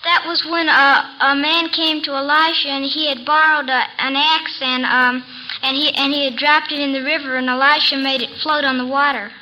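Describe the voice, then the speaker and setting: A quiz show contestant giving eager, tumbling answers with uhs. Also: female voice, high voice, level tone, normal speed, sharp tone, muffled recording, a little hiss or hum.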